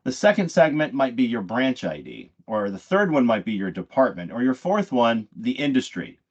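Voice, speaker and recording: A man giving a live software demo in an explanatory, conversational way, often pausing mid-phrase. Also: mid-range voice, very animated. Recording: dull, muffled lo-fi audio.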